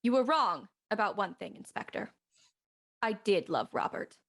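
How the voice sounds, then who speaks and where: A woman's voice: medium pitch, lively intonation, normal speed, gravelly, a bit bright. Lovelorn, candid Victorian woman, middle register, audio mystery drama. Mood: wistful confession.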